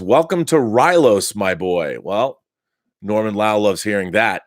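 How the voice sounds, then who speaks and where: A man's voice: fairly low pitch, no echo, clean studio sound. A middle-aged man hosting a casual live stream, reading chat messages aloud and rambling with enthusiasm. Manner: rambling, enthusiastic, frequent restarts and laughs.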